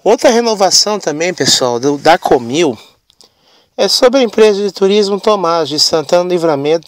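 A middle-aged man hosting his channel with a hoarse voice from a cold, chatting casually and often tagging his sentences with "né". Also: on the high side, fairly bright tone, no echo. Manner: chatty, frequent "né" and "pessoal" tags. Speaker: channel host. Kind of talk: casual vlog news.

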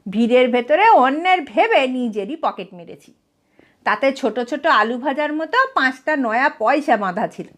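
A woman's voice: high-pitched, animated, normal speed. A woman voicing an absent-minded, hapless male thief in a comic storybook reading, chatty and deferential, with an earnest, aggrieved whine. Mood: earnest, rueful. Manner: whining, earnest, addresses listener as sir.

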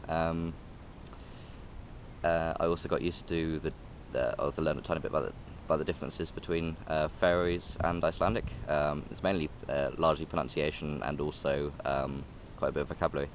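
A man's voice: very deep voice, fairly even intonation, brisk, slight background noise. A young man vlogging outdoors, hesitant and rambling with many ums and restarts. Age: young adult. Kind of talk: casual rambling vlog. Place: outdoors in a park by a railway line. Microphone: phone or camera held close.

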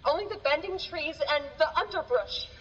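A woman's voice: high-pitched, lively intonation, moderate pace, a bit bright. A woman playing an anxious rural villager in a horror radio drama, urgent and folksy, in a muffled, echoey recording. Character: anxious rural villager relaying frightening eyewitness news. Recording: muffled, echoey hall with faint noise.

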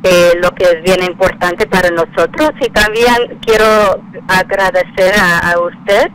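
A woman interview guest who is not a native speaker, offering sincere thanks in halting phrases with tag questions. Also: lowish voice, fairly bright tone, slight background noise, poor audio. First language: not Spanish. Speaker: spiritual center representative, interview guest.

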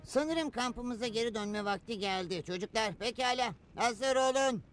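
Shrill, fussy cartoon bird scoutmaster. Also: male voice, normal speed, a bit bright, faint music behind. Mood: polite. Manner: courteous, preachy, easily flustered. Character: fussy, over-polite bird scout leader. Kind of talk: animated cartoon dub acting.

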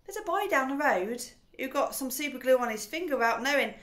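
A woman's voice: high-pitched, expressive, brisk, fairly bright tone, roomy sound, voice pushed up. A woman reading a storybook aloud, voicing a sly, clever little girl with a sweet, innocent-sounding voice.

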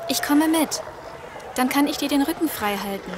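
A woman playing a calm, brave zombie-apocalypse survivor in a German audio drama, composed and reassuring. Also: high voice, moderate pace, noisy background.

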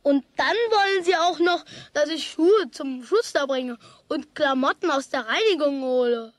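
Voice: high-pitched, expressive, unhurried, dry recording. A performer playing a sulky, cheeky young schoolboy who complains defiantly in a children's radio play. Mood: indignant.

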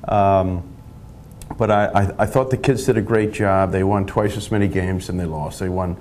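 Lower-voiced veteran coach, modest. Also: male voice, steady tone, darkish tone, slight background noise. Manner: modest, measured, stumbling restarts. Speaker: high school lacrosse coach. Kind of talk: studio interview. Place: TV studio. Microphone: lapel mic.

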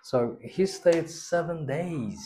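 A man's voice: fairly high pitch, animated, slow, noisy background. A young man giving a spoken English test, patiently prompting and correcting a student's answers in a small classroom. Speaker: English teacher.

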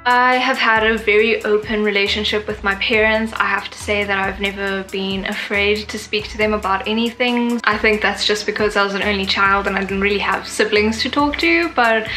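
A woman's voice: mid-range voice, fairly even intonation, normal speed, hardly any pauses, bright, forceful tone, faint music behind. A nineteen-year-old woman from Zimbabwe, chatting to the camera in her bedroom, upbeat and full of "like" and "yeah" fillers. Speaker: YouTuber. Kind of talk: casual facts vlog to camera. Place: bedroom with a sofa, indoors. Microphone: camera in front at desk distance.

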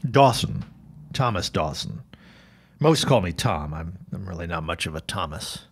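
An actor playing an earnest, troubled forty-year-old newspaperman and widowed father in a period audio drama, speaking haltingly. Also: sits low, animated, creaky, slightly rough, exaggerated voice. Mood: earnest, firm.

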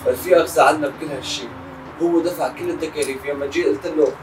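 A man playing a worried older father who scolds loudly, recorded from a distance in a roomy space with music behind. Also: on the high side, steady tone, very fast, heavy background noise, close to an everyday voice. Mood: exasperated. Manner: raised, exasperated scolding.

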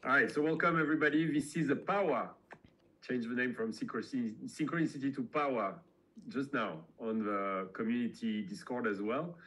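A middle-aged man hosting a weekly video call, speaking casually with many halting fillers. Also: mid-range voice, fairly bright tone, breathy edge, low-quality recording. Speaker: host.

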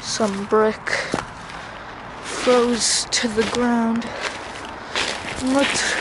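Casual outdoor vlogger, highish voice, very drawn-out unscripted delivery, handheld camera sound with noticeable background noise. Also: level tone. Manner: halting, unscripted. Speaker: vlogger exploring ruins. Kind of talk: casual narrated walk-through vlog. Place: outdoors in woods by a river and bridge. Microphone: handheld camera mic, operator behind camera. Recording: noisy outdoor camera audio. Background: ambient outdoor noise, passing vehicles.

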